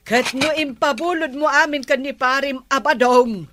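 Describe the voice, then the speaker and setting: An actress with an Ilocano accent playing an exasperated, nagging village captain's wife in a radio comedy drama. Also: female voice, high voice, moderate pace, fairly bright tone.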